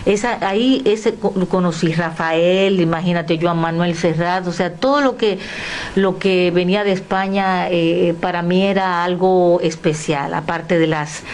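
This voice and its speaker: An older woman from the Dominican Republic, a guest telling animated personal stories and acting out remembered dialogue. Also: on the low side, a little slow. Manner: lively, acts out remembered dialogue.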